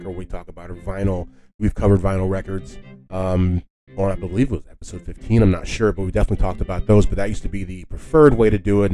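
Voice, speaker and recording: A man hosting a podcast, explaining history casually in a halting, conversational way and often rephrasing himself. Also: low voice, dark tone. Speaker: podcast host.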